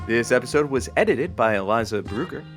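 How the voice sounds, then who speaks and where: A man's voice: mid-range voice, lively intonation, brisk, soft background music, natural voice. An actor playing a smug, laid-back young male sidekick in an audio comedy drama, with a casual, wry, playful delivery. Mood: playful announcing.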